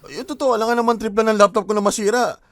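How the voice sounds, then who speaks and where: A man's voice: sits high, fairly even intonation, rapid-fire, a bit bright, no room sound. Flustered young man, polite then exasperated, dry close studio sound. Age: young adult. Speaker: son. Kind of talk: Filipino radio drama acting.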